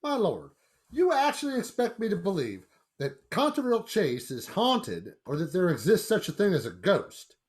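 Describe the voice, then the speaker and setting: Brash American dad,, high voice, animated swings, sceptical, emphatic, radio drama. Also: male voice, moderate pace. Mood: boastful, incredulous. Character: brash, sceptical American businessman father, confident and dismissive. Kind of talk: radio play acting.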